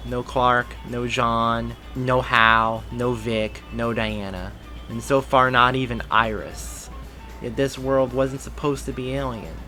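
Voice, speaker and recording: A man narrates a story aloud in an even reading voice. His tone is bright and forward, his pitch middling, with faint music playing underneath. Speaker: reader of fan fiction.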